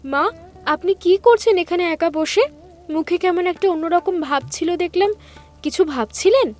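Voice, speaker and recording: A woman's voice: very high-pitched, lively intonation, normal speed, darkish tone, soft background music. A woman voicing a concerned, polite young daughter-in-law in a cartoon story, caring and inquisitive. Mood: gently curious.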